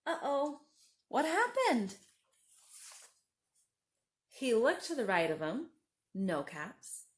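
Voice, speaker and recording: A woman's voice: high voice, wildly swooping pitch, slow pace, pauses often. A woman storyteller reading a children's story aloud expressively, with friendly asides addressed directly to young listeners.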